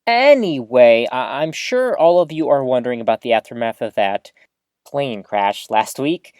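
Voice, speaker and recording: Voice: medium pitch, normal speed, no room sound, clean studio sound, lightly acted. An actor playing a rambling, easily flustered small-town radio host giving a live broadcast in an audio drama, awkward and chatty. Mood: awkward, earnest. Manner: awkward, animated, throat-clearing, chatty.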